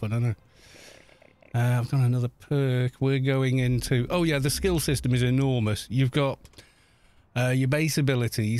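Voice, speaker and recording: A man's voice: medium pitch, darkish tone. A man in his fifties from Wales with a Welsh accent touched by northern English, chatting loosely and laughing easily as he streams a game. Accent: Welsh with some northern English. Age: 50s. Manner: chatty, rambling, laughs easily, reads chat aloud. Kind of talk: live stream commentary while playing.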